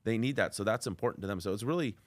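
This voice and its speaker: Loud guy hosting a podcast, lowish voice, chatty, dry close sound. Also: very fast, dry recording. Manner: loud, talkative. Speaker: podcast host, commercial real estate broker. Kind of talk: casual interview conversation.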